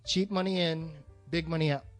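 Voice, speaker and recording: A man's voice: fairly high pitch, steady tone, normal speed, soft background music. A man chatting casually about film as a podcast co-host, blunt and freely swearing.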